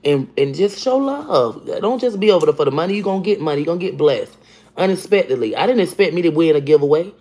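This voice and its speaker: Woman with a deep voice, forties, blunt profane livestream chat, phone held close in a home kitchen. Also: quick pace. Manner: blunt, profane, animated, talks to viewers by name. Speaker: livestream host. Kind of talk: casual livestream chat reading comments. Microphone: phone camera at arm's length.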